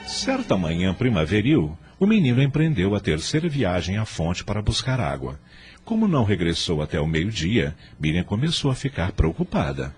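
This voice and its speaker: A man narrating a scripted radio story in a measured, expressive, dramatic manner. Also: on the low side, breathy edge, soft background music.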